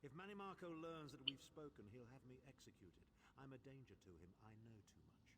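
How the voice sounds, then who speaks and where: An actor playing a haughty, sardonic older chancellor in a video game, speaking formally and with dry sarcasm. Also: male voice, medium pitch, big pitch swings, brisk, natural voice. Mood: guarded. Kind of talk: video game character voice acting. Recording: clearly noisy game capture with ambience.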